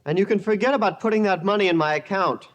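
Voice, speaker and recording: An actor playing a sullen, stubborn teenage son who protests, in old-time radio drama style. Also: male voice, high voice, fast talker, natural voice. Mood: pleading, defiant. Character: sullen, defiant rich teenage son, hot-rodder.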